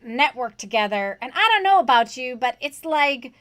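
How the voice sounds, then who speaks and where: A woman's voice: high-pitched, sing-song, moderate pace, a bit bright. A woman hosting a casual conversation about online tutoring, earnest and chatty, asking rhetorical questions. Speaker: host, online tutoring coach.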